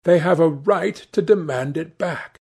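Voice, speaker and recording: A man voicing an earnest, idealistic young gentleman in an audiobook, speaking fervently. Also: a little high, unhurried, slightly rough, voice pushed up. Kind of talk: audiobook character dialogue.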